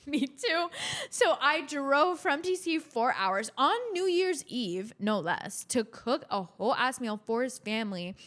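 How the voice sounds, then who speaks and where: A woman's voice: high-pitched, lively intonation, unhurried, fairly bright tone. A young adult woman from Chile co-hosting a podcast, telling personal stories in a casual, conversational way. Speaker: podcast co-host.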